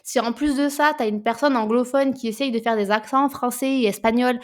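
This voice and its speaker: A woman, co-hosting a casual book podcast, animated and often repeating words as she searches for them. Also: fairly high pitch, fairly quick. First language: French. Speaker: podcast co-host.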